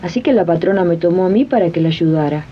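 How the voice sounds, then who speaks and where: A woman's voice: expressive, quick pace, slight background noise, natural voice. A woman playing an assertive, friendly housemaid in an amateur radio drama, speaking earnestly with an Argentine accent. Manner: earnest, explanatory, addresses listeners as comrades. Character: assertive young housemaid and union-minded worker, friendly. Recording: dull, rumbling archive radio tape.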